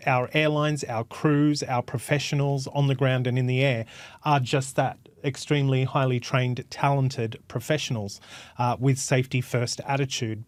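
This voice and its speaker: A man's voice: mid-range voice, no room sound. A man, a former cabin crew member co-hosting a podcast, explaining safety ideas earnestly with analogies. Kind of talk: casual discussion.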